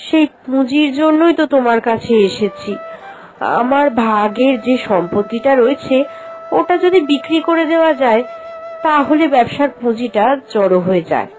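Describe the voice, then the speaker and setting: A woman's voice: sits high, lively intonation, moderate pace, a little mellow, faint music behind, rough recording. A woman voicing a young village wife who speaks to her aunt in an affectionate, pleading way. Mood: caring. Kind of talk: animated cartoon voice acting.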